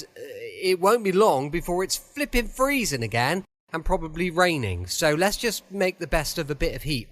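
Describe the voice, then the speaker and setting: A man's voice: a little high, a bit bright. A British man chatting as the host of a late-night radio show. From United Kingdom.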